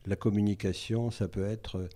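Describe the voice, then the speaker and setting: A man's voice: lowish voice, steady tone, normal speed, dark tone. A man with a French accent moderating a classroom debate, encouraging and given to rhythmic repetition.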